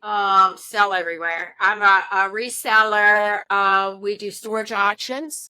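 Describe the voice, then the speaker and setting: Woman, sixties, slow pace, bright tone, chatty, dry close sound. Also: mid-range voice, steady tone, clean tone, dry recording, poor audio. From Virginia. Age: 60s. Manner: chatty, laughs often, rambling. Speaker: podcast co-host. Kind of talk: casual interview chat.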